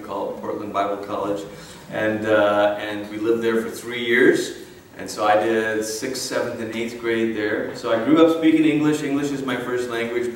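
A man's voice: mid-range voice, slight background noise. A man from Malaysia in his thirties giving a personal testimony talk, conversational and full of fillers and restarts. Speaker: guest speaker. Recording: echoey hall from a distance.

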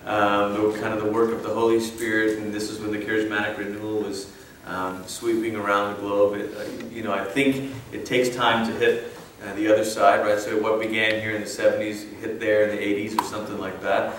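A man's voice: fairly low pitch, flat delivery, a little fast, few pauses, fairly bright tone, a little hiss or hum. A man from Malaysia in his thirties giving a personal testimony talk, conversational and full of fillers and restarts. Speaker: guest speaker. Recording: echoey hall from a distance.

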